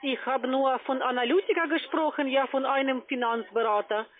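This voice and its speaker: A woman with a foreign accent, not a native speaker, giving a pushy phone sales pitch as a call-center agent, often tagging on a questioning word. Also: fairly even intonation, moderate pace. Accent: foreign accent in German. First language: not German. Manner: pushy, repeatedly tagging phrases with "ja". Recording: tinny narrowband phone line.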